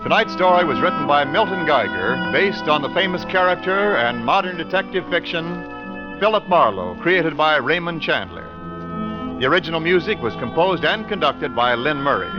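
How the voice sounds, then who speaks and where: A man's voice: fairly high pitch, expressive, moderate pace. An American man announcing a scripted 1940s radio programme in a formal, polished style. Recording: muffled old radio recording. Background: loud music.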